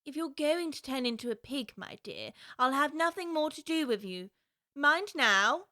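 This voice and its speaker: Brief: a polite, curious little girl, high, bright tone, dry studio. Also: animated, normal speed, dry recording. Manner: well-spoken and prim, wondering aloud. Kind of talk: full-cast audiobook acting.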